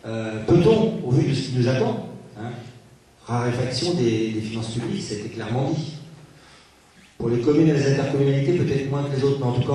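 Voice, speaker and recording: A man speaking as a local elected official at a public meeting, posing rhetorical questions and pausing hesitantly. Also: mid-range voice, dark tone. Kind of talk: political speech at a public meeting. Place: large reverberant meeting room. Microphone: distant microphone. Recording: echoey hall, distant mic, faint noise.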